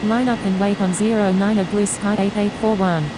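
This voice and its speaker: Female airline pilot for a flight game, played by an actress: clipped procedural radio calls, mid pitch, clear background whirr. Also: moderate pace, natural voice. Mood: businesslike. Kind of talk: scripted air traffic radio calls. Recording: noisy capture with steady whirring hiss.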